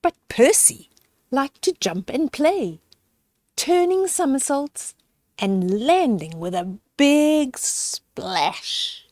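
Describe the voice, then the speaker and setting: An older woman tells a picture-book story to small children. Her delivery is very animated and unhurried, in a close, dry sound. She often steps out of the text to explain things simply. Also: high-pitched, no room sound. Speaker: storyteller reading children's picture books aloud. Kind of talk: read-aloud children's story with chatty asides to young listeners.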